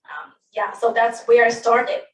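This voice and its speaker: A woman's voice: on the high side, unhurried. A woman in her thirties, an English speaker, telling the story of how she became a medical interpreter at a panel, with many restarts and self-corrections. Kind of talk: personal account at a panel. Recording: echoey room, distant mic, faint noise.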